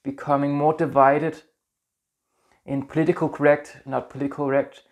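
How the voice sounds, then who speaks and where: A man in his thirties from Denmark with a Danish accent, talking earnestly to camera in a small room on a lapel mic, gentle and a little halting. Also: on the high side, little pitch movement. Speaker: spiritual vlogger. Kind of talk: casual talk to camera.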